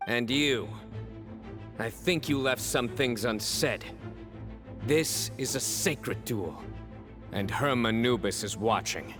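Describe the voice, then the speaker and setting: A man's voice: mid-range voice, slow, pauses often, over music, natural voice. A man playing a proud, stoic general in a video game, with a serious, composed delivery. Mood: solemn, resolute. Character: stern, stoic male general, proud and dutiful.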